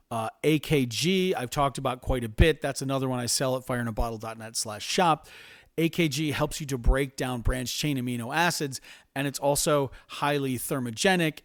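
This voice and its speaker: An American man with a mid-range voice presents health information informally. He explains methodically and in a measured way, and the sound is dry with very little room to it. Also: mid-range voice, no echo. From United States.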